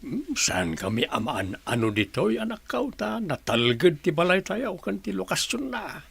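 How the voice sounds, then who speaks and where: A man playing a gentle elderly father in a radio drama, with a creaky, husky voice. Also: sits high, big pitch swings, a little slow, dry recording, full character voice. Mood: gentle concern. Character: gentle elderly father reassuring his grown son.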